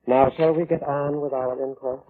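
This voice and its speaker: A man's voice: medium pitch, fairly even intonation, natural voice. Man playing a patient, ominous coroner on vintage radio. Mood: dryly firm. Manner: measured, courteous, dryly probing. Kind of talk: old-time radio drama acting. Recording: muffled vintage radio recording.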